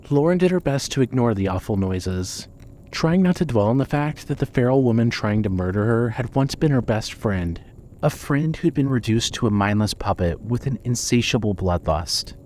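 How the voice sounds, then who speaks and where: Male story narrator, mid-pitched, noisy backdrop. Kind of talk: scripted dramatic story narration.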